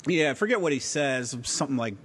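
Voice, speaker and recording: A man co-hosting a casual podcast, with a smooth, soothing voice and a laid-back, dryly humorous manner. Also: lively intonation, fast, a bit bright. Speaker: podcast co-host. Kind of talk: casual podcast chat about a TV series. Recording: clear low rumbling background noise.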